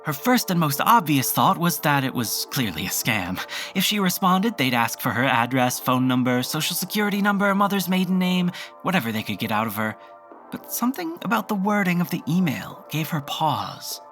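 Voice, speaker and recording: A narrator with an androgynous voice reading a scripted story in a measured, wry, reflective way. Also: mid-range voice, sing-song, fairly bright tone. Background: music under the voice.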